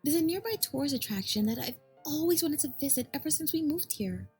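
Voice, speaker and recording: A woman playing a gentle, affectionate pony wife in an acted audio fan fiction. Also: high voice, expressive, brisk, faint music behind. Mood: gentle, practical. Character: gentle, caring pregnant female pony wife.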